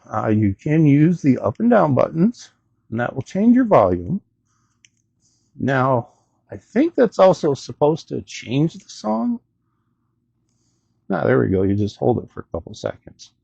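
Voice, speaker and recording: Husky, animated man casually demoing a gadget. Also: on the high side, no room sound, muffled recording. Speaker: gadget review channel host. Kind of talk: casual product demonstration.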